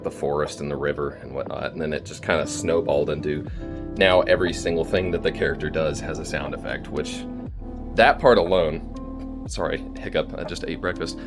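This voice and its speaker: Deep-voiced man chatting casually. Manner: informal, halting, thinking aloud. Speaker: storytelling channel creator and host. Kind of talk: casual, rambling thank-you vlog to listeners. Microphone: phone video recording.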